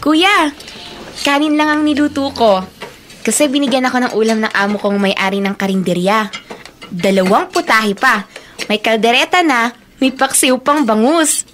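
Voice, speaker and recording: A dutiful young working daughter played by a woman in a radio drama. Her high-pitched voice is eager and respectful, and faint noise sits behind it. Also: moderate pace, pauses often, a bit bright, close to an everyday voice. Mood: chatty.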